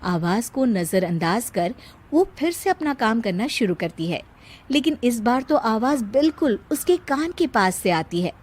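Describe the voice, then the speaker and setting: A woman's voice: fairly high pitch, sing-song, measured pace, a little mellow. A woman narrator telling a scripted comic moral story.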